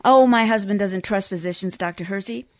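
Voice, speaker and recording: A woman playing a long-suffering, sharp-tongued wife in a 1940s-style radio comedy. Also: mid-range voice, a little fast, no room sound, natural voice. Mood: weary, wry. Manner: wry, complaining. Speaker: community theatre actor.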